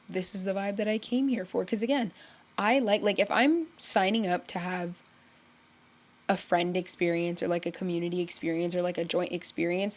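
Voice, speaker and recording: A young woman hosting a podcast, congested from illness, chatting casually and rambling with lots of "like" as she tells a story. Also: medium pitch. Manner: rambling, heavy use of "like", animated storytelling. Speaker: podcast host. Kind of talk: casual solo chat.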